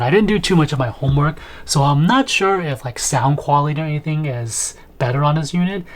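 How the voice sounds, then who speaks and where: Male vlogger, thirties, conversational product walkthrough, mid-pitched, with clear background noise. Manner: conversational, thinking aloud. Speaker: video host, product reviewer. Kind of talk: casual unboxing and setup commentary. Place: small home room, indoors.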